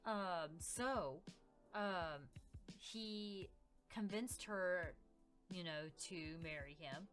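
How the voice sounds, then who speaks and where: A woman's voice: fairly low pitch, very slow, a bit bright, faint music behind, rough recording. A woman co-hosting a podcast in character as a fey demon, telling family stories in an informal, hesitant way. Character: fey demon woman gossiping about humans. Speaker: podcast co-host.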